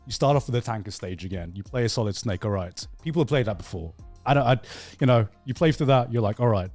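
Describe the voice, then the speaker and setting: A man chatting casually about video games as a podcast host, telling it in an animated, profane way with halting repeats. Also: mid-range voice, faint music behind. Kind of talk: casual video game chat.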